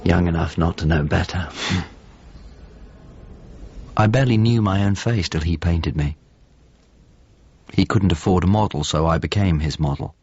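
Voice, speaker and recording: An actor playing a thoughtful painter's model, narrating a radio drama in measured, descriptive phrases. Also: male voice, low voice, a little mellow, a little hiss or hum. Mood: wistful recollection. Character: thoughtful male painter's model grieving a lost friend. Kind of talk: radio drama, reflective first-person narration. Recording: muffled audio with music underneath. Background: music.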